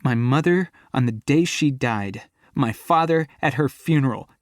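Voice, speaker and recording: A man reading an audiobook voices a defiant, hurt young woman who shouts at her partner, her voice cracking. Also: medium pitch, slow, breathy edge, no echo, studio quality, voice pushed up. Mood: furious.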